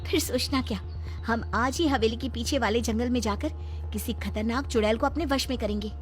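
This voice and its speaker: A woman's voice: a little high, moderate pace, soft background music, natural voice. A woman voicing a bold, determined elder sister in a cartoon, confident and commanding. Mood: eager. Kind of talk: Hindi cartoon voice acting.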